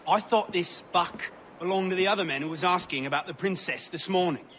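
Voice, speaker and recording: A man's voice: high voice, fairly quick, fairly bright tone, slight background noise. An actor playing a male informant giving a matter-of-fact report in a dramatic video game cutscene. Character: informant man reporting plainly on strangers.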